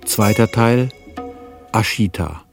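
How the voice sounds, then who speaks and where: A man's voice: lowish voice, slow, vocal fry. A man giving scripted narration and announcements for a radio crime drama. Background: prominent music.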